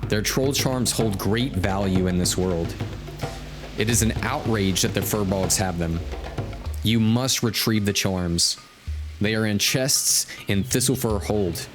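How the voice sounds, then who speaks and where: A man giving casual, laid-back gameplay commentary as he hosts a stream, thinking aloud and reading out quest text. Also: lowish voice. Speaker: game livestream host. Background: game music.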